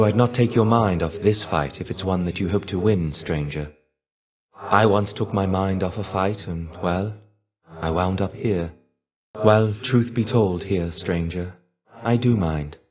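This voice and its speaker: A fallen warrior's shade for a video game, low in pitch and weary. He speaks in measured, formal, slightly archaic phrases. His manner is melancholy and guarded, with an understated wryness. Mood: dry, weary. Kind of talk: video game character voice acting.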